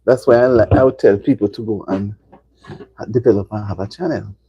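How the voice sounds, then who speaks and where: A woman's voice: rumbling low, brisk, breathy edge, dry recording, dull audio. A middle-aged woman from Jamaica with a Jamaican accent, chatting casually to viewers on a live stream and repeating herself for emphasis. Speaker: livestream host.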